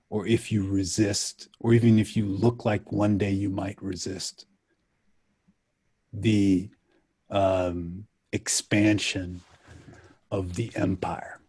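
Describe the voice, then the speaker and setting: A man from Ethiopia speaking as a panelist in a reflective, deliberate and halting way, with emphatic storytelling. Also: fairly low pitch, darkish tone, breathy edge, dry recording.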